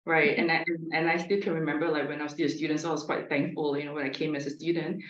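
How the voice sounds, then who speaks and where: A woman's voice: sits low, little pitch movement, quick pace. A woman speaking as a psychologist on a panel, hesitant, with many fillers and restarts. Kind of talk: panel talk. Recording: echoey, muffled call audio.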